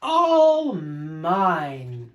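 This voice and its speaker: Man putting on a loud, pompous turtle king. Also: a little high, wildly swooping pitch, very slow, dull audio, voice pushed up, full character voice. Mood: gloating. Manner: pompous, bellowing, barking. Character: boastful, tyrannical turtle king, pompous and domineering.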